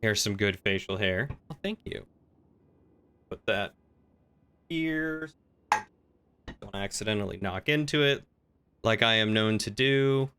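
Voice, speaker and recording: Bright, clear-voiced man chatting on stream. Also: lowish voice, expressive, takes it slowly, pauses often. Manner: chatty, thinks out loud, playful. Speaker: livestreamer. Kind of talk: casual gaming livestream chat.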